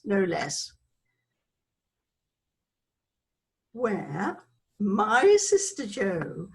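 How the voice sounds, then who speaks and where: A scatty, frivolous older British woman tells her life story as a stage monologue. Her voice sits fairly high and swings dramatically up and down, unhurried as she wanders off the point and excuses herself. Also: darkish tone, exaggerated voice. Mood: proud, amazed. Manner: rambling, frivolous, digressive, self-excusing. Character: chatty, scatty older Englishwoman named Isabella, reminiscing.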